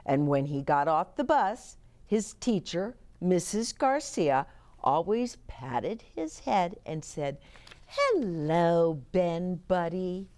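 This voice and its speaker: A woman reading picture books aloud to children in an expressive way, chatting to her young listeners as host. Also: on the low side, slow pace. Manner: expressive, explains words and chats to young listeners. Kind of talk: animated children's read-aloud with asides.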